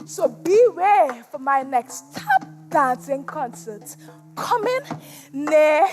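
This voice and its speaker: An embittered, chained spirit of a former slave acted by a woman speaks in a very high Trinidadian voice. The rhyming stage monologue is slow, theatrical and anguished, with music heard along with it. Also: very animated, darkish tone, exaggerated voice. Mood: taunting, menacing. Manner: theatrical, anguished, deliberate, drawn-out delivery. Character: embittered chained former slave turned restless lagahu spirit, preaching warnings. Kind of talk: dramatic spoken-word stage monologue in rhyme. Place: stage at a competition in a performance hall.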